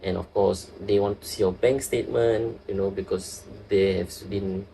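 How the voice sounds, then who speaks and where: A young man from Singapore with a Singaporean accent, a nurse talking as a guest in a casual interview, earnest and halting, often restarting his sentences. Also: deep voice, steady tone, gravelly, dark tone, a little hiss or hum, rough recording.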